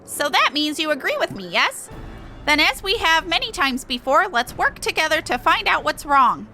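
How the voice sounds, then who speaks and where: A small, eager game creature, voiced by a woman, high and animated. It speaks earnestly, like a perfectionist crafter, over clear background music. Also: normal speed, exaggerated voice. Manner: earnest, exclaiming, ends remarks with a verbal tic. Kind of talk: reading game dialogue aloud in character.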